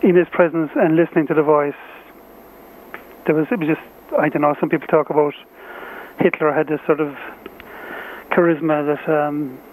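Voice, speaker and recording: A man playing a modest, dismissive Irish poet, talking informally and haltingly in a documentary interview. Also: fairly high pitch, fairly even intonation, a little hiss or hum, lightly acted, poor audio. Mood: reflective. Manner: halting, informal, searching for words.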